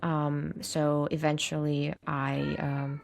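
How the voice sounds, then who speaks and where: A woman's voice: deep voice, monotone, slow, gravelly, slight background noise. A young adult woman from Russia with a Russian accent, chatting casually as a podcast guest, hesitant with lots of fillers and frequent laughs. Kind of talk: casual interview chat.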